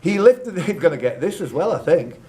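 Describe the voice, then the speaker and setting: A man's voice: on the high side, very animated, a little fast, dark tone. A man hosting a live quiz, reading out questions with jokey, teasing asides to the contestants. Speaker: quiz host and question reader.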